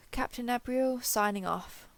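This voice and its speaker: Female-performed alien explorer captain for an audio drama log: tough, cocky, wry, fry-edged voice, very dry close sound. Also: a little high, dry recording. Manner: wry, gritty, self-talking, mildly profane.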